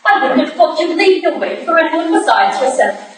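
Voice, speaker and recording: Woman acting indignant young society wife, Victorian stage drama, high pitch, echoey distant recording. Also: lively intonation, normal speed. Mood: indignant, forceful. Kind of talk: staged reading of a period stage play. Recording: echoey hall, distant mic, hissy noise.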